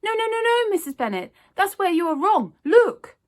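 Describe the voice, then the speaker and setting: A woman doing a high, stammering, jittery shopkeeper. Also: big pitch swings, moderate pace. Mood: insistent. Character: timid jittery male shopkeeper, easily frightened.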